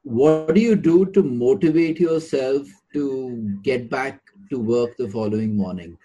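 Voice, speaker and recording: A man hosting an interview, asking measured questions and wrapping up politely. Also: medium pitch, dark tone, breathy edge, low-quality recording. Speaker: interview host.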